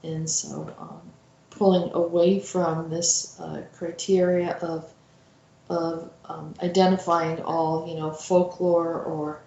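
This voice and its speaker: A woman with a slightly low voice talks at a slow, halting pace, as if hosting and introducing a story. The recording is roomy and echoing, and her delivery is informal and reflective. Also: level tone, creaky, mellow, highs cut off. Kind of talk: informal spoken commentary.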